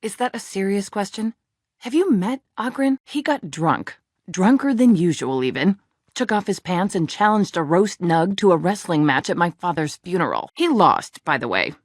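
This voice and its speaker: A blunt female dwarf serving in a fantasy game tavern. Her voice sits a little low for a woman, recorded dry, and she talks with earthy, sardonic bite. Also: sing-song, normal speed, no echo. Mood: scornful, irritated. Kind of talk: video game character dialogue.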